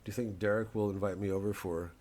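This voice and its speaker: A man's voice: fairly low pitch, fairly even intonation, fast, darkish tone, natural voice. A man puts on a coy, dithering shopper in a comedy bit. The delivery is hesitant and musing, as if thinking aloud. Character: dithering, coy shopper hoping to impress a friend.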